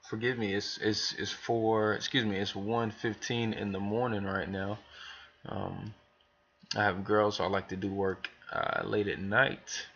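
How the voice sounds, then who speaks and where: Low-voiced man, dull lo-fi recording. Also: level tone, unhurried, a bit bright, a little hiss or hum. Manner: informal, rambling, thinking aloud. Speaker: business trainer demonstrating software to new hires. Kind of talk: casual screen tutorial, talking through steps.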